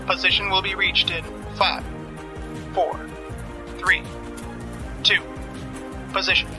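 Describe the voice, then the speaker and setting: An actor playing an emotionless tactical lookout in a science fiction audio drama, formal and precise. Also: male voice, sits high, flat delivery, takes it slowly, over music. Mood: detached, precise.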